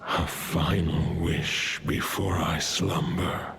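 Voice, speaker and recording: An actor playing an ancient dying wolf spirit who gives a slow, solemn farewell in a deep, gravelly voice. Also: male voice, level tone, exaggerated voice. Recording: loud ambient noise behind. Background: loud ambient noise.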